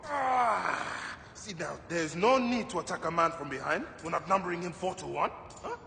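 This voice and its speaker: A man's voice: high voice, moderate pace, gravelly, pushed and bright, heavily acted. A man playing a playful warrior uncle who puts on mock menace for children in a video game. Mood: mock defeat. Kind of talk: dramatic video game acting. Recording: echoey space with background noise.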